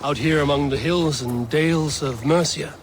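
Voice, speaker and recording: An earnest Norse warrior from a video game, played by a man, grave and deliberate. The voice sits on the high side for a man, with clear outdoor noise behind it. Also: lightly acted. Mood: earnest, courteous. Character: earnest, dogged Norse warrior on a woodland hunt.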